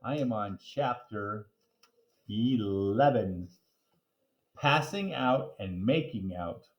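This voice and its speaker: Animated man reading aloud, echoey room. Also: on the low side, slow, muffled recording. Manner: explains words simply to young listeners. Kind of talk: animated children's storybook read-aloud with casual asides. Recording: echoey, roomy sound.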